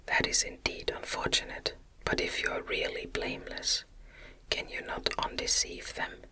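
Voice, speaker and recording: Casting: woman reader as kindly elderly blind man, audiobook, all in whisper, gentle, formal, measured. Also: natural voice. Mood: gently questioning. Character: kindly old blind man, poor exile, compassionate. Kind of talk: whispered ASMR audiobook reading.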